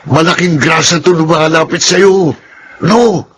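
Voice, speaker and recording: A man's voice: fairly high pitch, measured pace, background noise, natural voice. A man playing an eager fellow prisoner. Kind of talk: classic radio drama acting.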